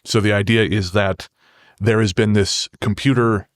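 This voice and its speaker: A man's voice: on the low side, fairly even intonation, normal speed, dry recording, studio quality. A man speaking as a podcast guest, explaining things in a conversational interview style. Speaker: podcast guest, horror writer.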